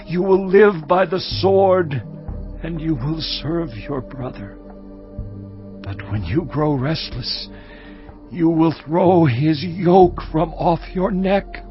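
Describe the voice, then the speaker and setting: A man's voice: a little high, slow. A man playing an old, frail blind father, solemnly giving blessings in a dramatized audio Bible. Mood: grave. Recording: dull lo-fi sound, faint music.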